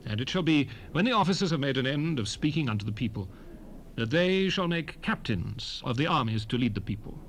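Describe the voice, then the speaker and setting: An actor playing a stern, commanding lawgiver in a dramatized scripture reading, declamatory and emphatic with measured phrasing. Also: male voice, medium pitch, big pitch swings. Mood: commanding, exhorting. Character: stern, commanding male lawgiver addressing his people. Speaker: scripture narrator in a dramatized Bible recording. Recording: 1970s cassette rip, faint background noise.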